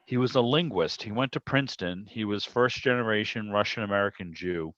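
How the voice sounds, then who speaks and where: Low-voiced man, dull roomy sound. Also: a little fast, a bit bright. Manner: rambling, anecdotal storytelling. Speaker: radio show producer and interviewer. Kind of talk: casual hobby club chat. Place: video call. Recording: dull, roomy video-call audio.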